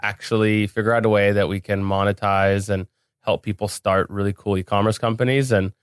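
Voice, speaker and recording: A man's voice: on the low side, little pitch movement, a little fast, clear, resonant tone, no room sound. A man speaking casually as an interview guest on a podcast, recorded in a studio.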